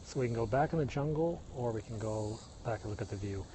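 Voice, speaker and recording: A middle-aged man with a Midwestern American accent talks to his viewers as he walks a hillside trail. He sounds like a chatty tour guide pointing out the sights. His phone picks up loud cicadas and insects buzzing around him. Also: medium pitch, quick pace, a little hiss or hum. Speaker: live-streaming vlogger. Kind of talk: casual walking livestream chat. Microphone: phone held while walking.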